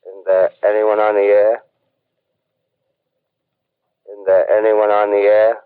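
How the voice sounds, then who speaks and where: An actor playing a lone radio operator calling out for survivors in a 1930s radio drama, repeating flatly as if over a distant transmission. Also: male voice, on the low side, clean tone, no echo. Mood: forlorn, calling. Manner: flat, slow, repetitive calling. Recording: tinny narrow 1930s radio sound.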